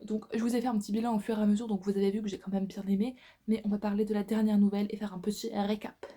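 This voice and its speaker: A woman's voice: medium pitch, flat delivery, racing, dark tone. A woman in her twenties, a book vlogger, chatting casually and informally to camera, with slangy phrasing. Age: 20s.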